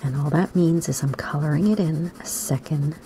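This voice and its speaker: A woman, slightly hoarse today, gently talking kids through a drawing lesson in a soft, half-whispered voice. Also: low-pitched, moderate pace, slight background noise. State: raspy, slightly sore throat. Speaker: art teacher for kids. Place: home room by a window.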